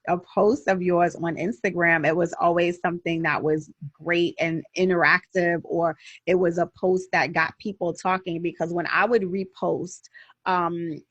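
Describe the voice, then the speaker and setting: A woman hosts a relaxed interview over a video call, chatty and encouraging as she draws out her guest. Her voice sits low and has a husky edge. The sound is dry and close, with no room echo. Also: unhurried, highs cut off. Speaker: host and editor. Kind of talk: casual interview chat.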